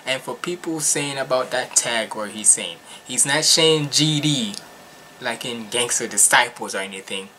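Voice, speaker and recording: A young man from Jamaica giving casual, animated and slangy commentary as he reacts to music at home. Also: mid-range voice, normal speed. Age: young adult. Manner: animated, slangy, emphatic, repetitive. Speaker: reaction video host. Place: small furnished room at home.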